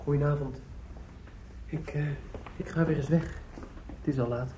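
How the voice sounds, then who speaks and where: A man's voice: on the high side, steady tone, moderate pace, mellow, close to an everyday voice. An actor playing an anxious, tender young man in a radio drama, with an earnest, hesitant delivery. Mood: uneasy. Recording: dull, noisy old radio drama recording.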